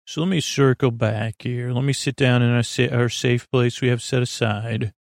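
A man's medium-pitched voice with a creaky, fried edge, recorded close and dry. He rambles through an improvised bedtime podcast monologue, stumbling over words and wandering into tangents. His delivery is absent-minded and self-deprecating. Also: fairly even intonation, no room sound. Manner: halting and meandering, stammers and trails off into tangents. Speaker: host of a bedtime sleep podcast.

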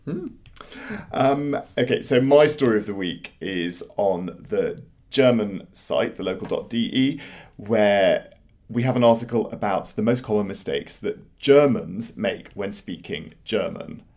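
A man with a fairly low voice co-hosts a relaxed news podcast. He talks in a halting way, with lots of fillers and restarts. It sounds like easy conversation, not a reading. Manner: hesitant, many fillers and restarts. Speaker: podcast co-host. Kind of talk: casual roundtable chat.

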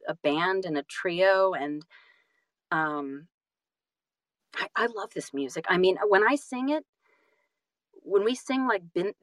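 Effusive woman with a lower-pitched voice. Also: dry recording. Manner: effusive, halting and self-correcting. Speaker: interview guest, singer and audiobook narrator. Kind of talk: casual interview chat.

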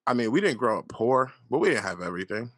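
A man's voice: mid-range voice, sing-song, fast talker, fairly bright tone, no room sound, studio quality. Candid profane man in his thirties. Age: 30s. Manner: candid, profane, storytelling with lots of fillers. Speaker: podcast host. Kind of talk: casual solo monologue.

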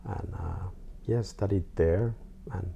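A 42-year-old man from Finland with a Finnish accent, talking casually and haltingly as an interview guest. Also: low voice, creaky, lo-fi sound, slight background noise. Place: artist's studio.

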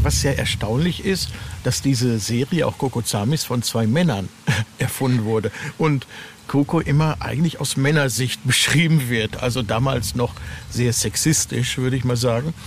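Male author, German accent, mid-range voice, hesitant and reflective interview talk, noisy recording. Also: mid-range voice. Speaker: guest author of horror novel series. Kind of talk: casual interview chat. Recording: clearly noisy background.

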